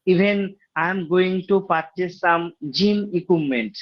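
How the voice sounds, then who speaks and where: A man with a Bengali accent, a native Bengali speaker practising English, talking haltingly with many pauses on a video call. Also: sits high. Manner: hesitant, many fillers and pauses. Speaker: English learner. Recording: dull lo-fi call audio.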